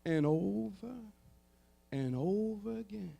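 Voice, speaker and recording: An American man preaching an impassioned sermon at a live event, emphatic and rhythmic, building on repeated phrases and moving between hushed lines and shouted, half-sung cries. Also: high voice, expressive, takes it slowly, vocal fry, slightly rough. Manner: emphatic, rhythmic repetition for rhetorical effect. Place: live public event before a gathering.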